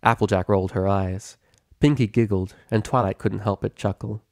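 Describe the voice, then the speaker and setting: A man's voice: fairly low pitch, steady tone, normal speed, darkish tone, dry recording, clean studio sound. A man reading a story aloud as narrator in a scripted narration style. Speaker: narrator reading fan fiction.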